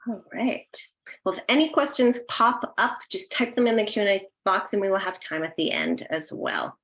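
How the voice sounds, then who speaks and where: A woman's voice: medium pitch, lively intonation, normal speed, fairly bright tone, rough recording. A woman hosting an online event in a casual, instructional way. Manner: informal, instructional. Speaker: host.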